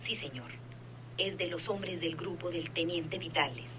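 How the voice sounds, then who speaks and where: Bright, low-voiced, deferential female informant. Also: steady tone, measured pace, poor audio. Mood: deferential, businesslike. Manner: deferential, precise, businesslike. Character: dutiful female head nurse secretly informing a crime boss. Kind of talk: radionovela acting.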